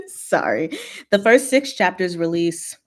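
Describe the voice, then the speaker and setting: Woman, mid-pitched, slow pace, playful, informal livestream host. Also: very animated. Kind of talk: casual livestream chat with fanfiction reading.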